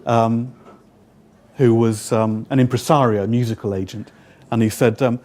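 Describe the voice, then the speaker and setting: A man in his fifties with a British accent, speaking at an event and recounting an anecdote to the room. His humor is dry and wry, and he acts out the exchanges he describes in a relaxed, conversational way at an average pitch. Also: unhurried. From United Kingdom. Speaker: guest speaker, interpreter. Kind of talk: storytelling talk to a live audience.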